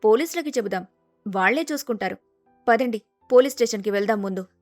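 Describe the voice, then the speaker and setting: Woman acting young daughter-in-law, polite, sensible, calm, highish voice, dry studio sound, faint music. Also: fast, dry recording, lightly acted. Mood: earnest, resolute.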